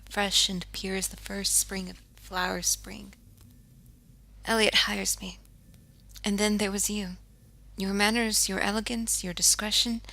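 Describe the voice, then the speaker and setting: An actress voicing a grieving jazz singer who narrates her own story in a quiet, bitter, world-weary way, often sinking to a whisper. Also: mid-range voice, rough recording. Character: grieving, haunted woman jazz singer seeking revenge. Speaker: game character. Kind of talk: scripted game voice acting, confessional narration. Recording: faint music underneath.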